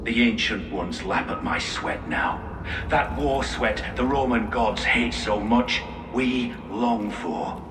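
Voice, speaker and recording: An actor playing a dying pagan warrior who defiantly serves ancient gods. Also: male voice, mid-range voice, slow pace, lightly acted. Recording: reverberant hall-like game audio. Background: music.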